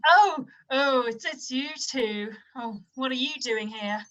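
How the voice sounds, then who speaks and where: A high-voiced young woman security officer acted by a woman in a radio pantomime. She is self-important, bossy and easily flustered. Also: slow, a bit bright, dull audio.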